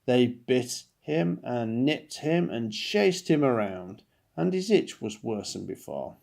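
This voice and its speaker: A man reads a children's story aloud in the style of a dad with a picture book. His phrasing is expressive and deliberate, and his voice carries a noticeable creak. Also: medium pitch.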